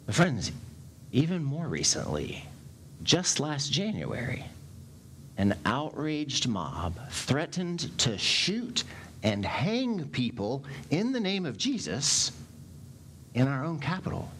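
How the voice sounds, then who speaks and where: Lively-voiced man preaching a sermon. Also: mid-range voice, slow pace, a little hiss or hum. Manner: animated, gestures broadly while preaching. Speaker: pastor. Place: church sanctuary stage. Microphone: headset mic.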